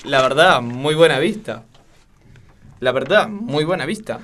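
A man's voice: medium pitch, unhurried, a bit bright, a little hiss or hum. A man with an Argentine accent, a comedy performer talking casually while rehearsing lines. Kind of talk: casual studio banter and rehearsal.

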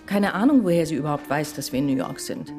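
A woman's voice: sits low, animated, fast talker, music in the background. An actress playing a withdrawn, defensive grieving mother in a radio drama, speaking in a curt manner. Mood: dismissive.

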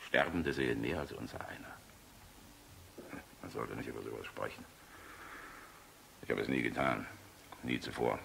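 An actor playing a war-weary general in a German radio play, tenderly in love, wry and fatalistic, with a very deep, gravelly voice. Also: male voice, normal speed, pauses often, fairly bright tone, full character voice. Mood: grave, subdued. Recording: hissy old radio recording.

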